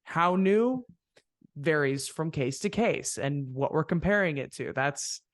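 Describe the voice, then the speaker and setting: Gravelly man hosting, dry close sound. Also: a little high, animated, a little slow, no room sound. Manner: reflective, rambling with fillers. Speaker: podcast host. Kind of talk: casual interview chat.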